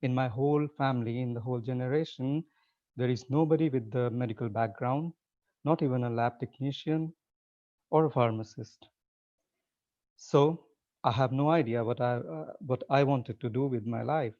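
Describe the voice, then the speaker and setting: A man, talking in a warm, mellow tone. He speaks in an easygoing, conversational way and often restarts his sentences. The sound is a little dull and muffled, like audio from a video call. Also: medium pitch, moderate pace. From Nepal. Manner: relaxed and conversational, with frequent restarts. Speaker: guest speaker. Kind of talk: informal webinar talk. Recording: slightly muffled webinar audio.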